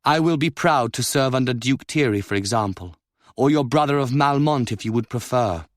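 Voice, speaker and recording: A careful, diffident nobleman, as a male narrator puts him on, speaking quietly and with measured restraint. The voice stays near the reader's own middle pitch, close and dry. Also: animated, no room sound, natural voice.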